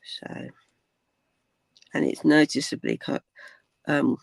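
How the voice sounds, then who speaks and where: An older woman with a croaky voice, chatting casually through a craft tutorial, rambling with many ums and dropping to a whisper while she works. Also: deep voice, level tone, slow pace, no echo. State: croaky from cold weather. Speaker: crafting channel host.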